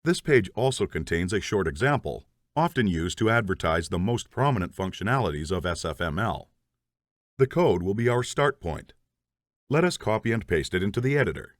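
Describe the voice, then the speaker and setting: Lively low-voiced man teaching code. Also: moderate pace, no echo, clean studio sound. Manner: methodical, explains step by step. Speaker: programming instructor. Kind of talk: scripted tutorial narration.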